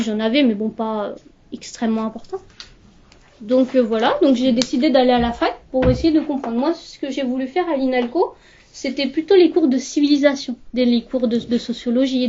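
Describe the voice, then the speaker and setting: A young woman whose first language is Urdu, talking reflectively in an interview and often pausing to search for words. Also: on the high side, dark tone. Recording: slightly muffled recording.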